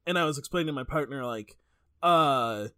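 A man's voice: mid-range voice, moderate pace, bright, forceful tone, no room sound, studio quality. A man co-hosting a podcast, talking casually about video games in a rambling, stop-start way. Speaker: podcast co-host.

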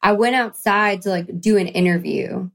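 A woman's voice: medium pitch, normal speed, gravelly. A woman country singer, a guest in a casual interview, chatting and telling upbeat stories.

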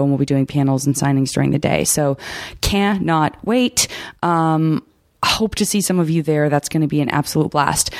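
A woman hosting a podcast in casual chat, her voice low, husky and a little fried, with many fillers. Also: a little mellow, no room sound, studio quality. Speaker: podcast host.

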